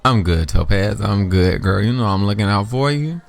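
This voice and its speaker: Man playing a low, tipsy, sassy best friend. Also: lively intonation, normal speed, creaky. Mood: supportive.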